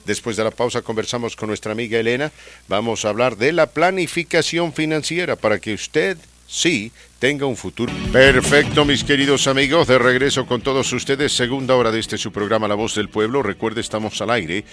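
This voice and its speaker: A man hosting a radio talk show, chatting casually and often pausing with fillers and restarts. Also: medium pitch, fairly bright tone. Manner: hesitant, many fillers and restarts.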